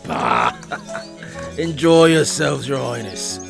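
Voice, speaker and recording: A hearty, loyal captain acted by a man talks in courtly, old-fashioned phrases. His delivery is slow and widely swinging in pitch, over prominent music in a fantasy audio drama. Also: huge pitch swings, full character voice. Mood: jovial. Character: loyal, hearty, good-humoured castle captain. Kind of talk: fan audio drama acting. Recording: music clearly under the voice.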